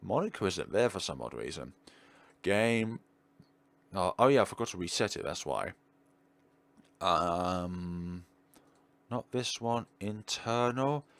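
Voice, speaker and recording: A man giving casual playthrough commentary as a gaming video host, thinking aloud with questions and trailing pauses. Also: sits low, expressive, fairly bright tone, dry recording. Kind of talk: casual playthrough commentary, partly reading on-screen text aloud.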